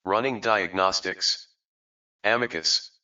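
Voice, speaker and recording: A man voicing a spaceship's computer with flat, clipped announcements. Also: deep voice, takes it slowly, dull audio, voice pushed down, exaggerated voice. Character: calm male ship computer.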